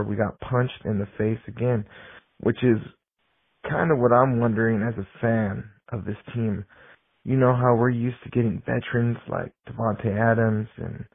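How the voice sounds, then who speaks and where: Frustrated husky caller on phone line. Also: male voice, lowish voice, dry recording. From Southern California. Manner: frustrated, talks in long complaints. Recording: dry tinny phone line.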